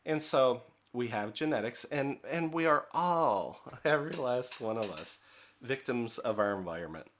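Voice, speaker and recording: A middle-aged man from the United States giving a relaxed training lecture on leadership, talking conversationally with filler words and checking in with "okay?". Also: medium pitch, normal speed, a little hiss or hum.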